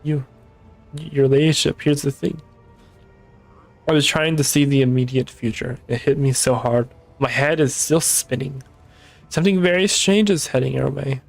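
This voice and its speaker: A man with a tired, hoarse voice voicing a troubled young woman psychic, reading her lines in an anxious, rambling way. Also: medium pitch, normal speed, soft background music.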